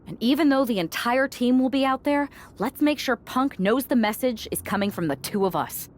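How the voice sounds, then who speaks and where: A woman's voice: on the high side, expressive, moderate pace, lightly acted. An actress playing a shrewd, wary female wrestler co-leading a team in a video game cutscene, cool and calculating.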